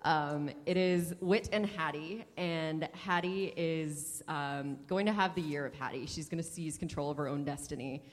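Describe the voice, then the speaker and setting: A woman's voice: sits low, moderate pace, few pauses. A woman chatting casually and enthusiastically about books as co-host of a podcast, speaking informally to a live audience. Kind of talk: casual book chat before a live audience. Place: indoor hall at a live podcast event. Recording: echoey hall, live event.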